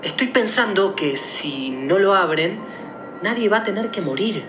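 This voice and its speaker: Animated, earnest young man. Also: high voice, unhurried, reverberant, over music. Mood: urgent, reasoning. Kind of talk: theatrical radio play acting.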